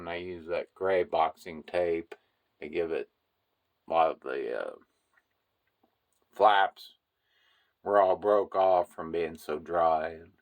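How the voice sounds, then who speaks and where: Slow, deep-voiced man touring his collection. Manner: unhurried, rambling, many fillers. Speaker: toy collector giving a room tour. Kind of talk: casual walkthrough narration. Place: indoor collection room.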